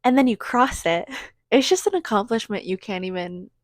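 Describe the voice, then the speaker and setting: A woman's voice: medium pitch, normal speed, no echo, clean studio sound. A woman in her twenties chatting casually to a phone camera in a vlog, rambling with lots of "like" fillers. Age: 20s. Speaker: vlogger. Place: indoors and in a car.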